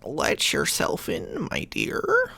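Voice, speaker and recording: A man voicing a sly, hungry big bad wolf in a bedtime story, falsely sweet, shifting between growl, squeak and croak. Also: slow pace, bright, forceful tone. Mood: feigned frailty. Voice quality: deep booming growl, at times squeaky or croaky.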